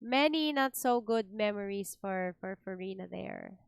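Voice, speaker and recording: Slow-paced woman streaming a game. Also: medium pitch, expressive, creaky, clear, resonant tone, dry recording. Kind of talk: casual live gameplay reactions.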